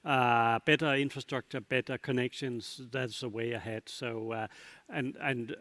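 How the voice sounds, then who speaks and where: A man answering as a panelist in a discussion, in a halting way with many pauses. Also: medium pitch, fairly even intonation, a bit bright.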